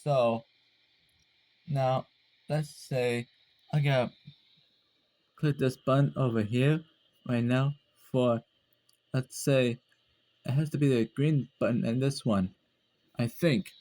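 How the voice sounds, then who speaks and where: A man hosting a casual hobby demonstration video, speaking in a halting, rambling, apologetic way. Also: medium pitch, steady tone, mellow.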